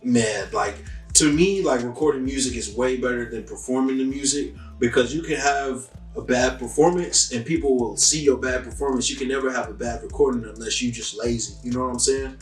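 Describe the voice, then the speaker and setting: A man's voice: medium pitch, a little fast, few pauses. Young guy rambling laid-back answers, kinda far from the mic in a roomy space, faint music behind. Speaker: musician interviewee. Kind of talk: casual interview answers.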